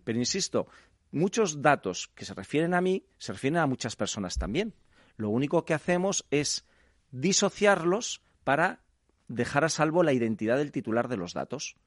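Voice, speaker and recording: A man's voice: fairly high pitch, sing-song, normal speed, dry recording. Man explaining law in a dry studio. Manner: lecturing, builds arguments step by step. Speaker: legal expert guest. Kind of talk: explanatory radio discussion.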